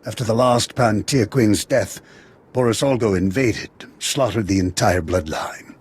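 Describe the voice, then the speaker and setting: An actor playing a dignified, earnest leader in a video game, husky and gravely formal. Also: male voice, lowish voice, unhurried. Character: earnest, dignified male Republic leader giving briefings. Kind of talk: video game cutscene acting.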